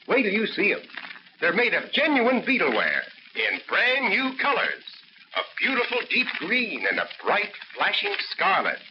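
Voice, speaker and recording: Man as an excitable vintage radio announcer pitching to kids; bouncy voice, lo-fi hiss. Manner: excited, gushing, talks to kids. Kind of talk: 1940s radio ad read. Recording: noisy dull old radio recording.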